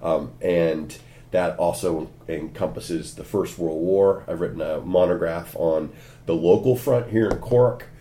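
A Californian American man, a history lecturer, answering interview questions in his office, often pausing with ums and restarting. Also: a bass voice, dark tone, slight background noise. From California, USA. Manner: frequent ums and restarts, lightly wry. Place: university office. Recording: imperfect office recording.

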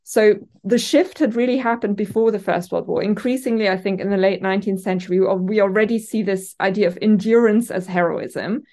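A woman's voice: mid-range voice, brisk, hardly any pauses, a little mellow. German-accented woman, thoughtful academic. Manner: thoughtful, hesitant with many ums and restarts. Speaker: literature academic guest. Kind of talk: conversational interview answers. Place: university office. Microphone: remote call from office.

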